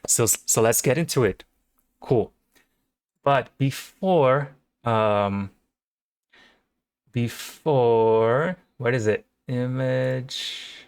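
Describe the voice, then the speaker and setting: A young Brazilian man with a Portuguese accent chats at a slow, deliberate pace, eager and full of fillers as he hosts his own channel. His voice sits in a middle register. The recording is dry and close, with no room sound. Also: medium pitch, smooth, dry recording. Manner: enthusiastic, rambling with fillers. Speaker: channel host. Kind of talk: casual reaction video chat. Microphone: home microphone.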